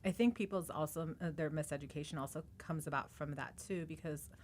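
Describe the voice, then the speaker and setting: A woman with a notably low-pitched voice hosts a casual podcast conversation. She is relaxed and chatty, sharing her own stories between listening. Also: a little fast, slight background noise. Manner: relaxed, laughs easily, chatty. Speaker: podcast host. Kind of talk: casual interview chat.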